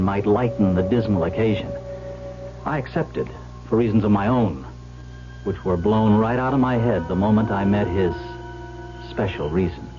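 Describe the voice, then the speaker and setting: An actor playing a breezy, wry young heir in a radio drama, both in dialogue and as narrator. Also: male voice, on the low side, natural voice. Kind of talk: radio drama acting with first-person narration. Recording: dull old radio recording.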